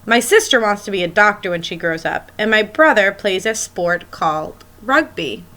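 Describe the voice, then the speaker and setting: A woman's voice: medium pitch, lively intonation, normal speed, sharp tone. A woman schoolteacher reading a children's picture book aloud, expressively and as if speaking directly to young listeners.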